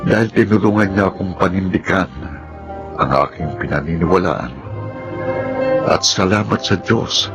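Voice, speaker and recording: Radio drama role: principled Filipino lawyer, deep voice, earnest, solemn, measured delivery. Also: male voice, music in the background. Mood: grateful, reflective. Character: principled Filipino male lawyer, earnest, telling his life story. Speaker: drama actor.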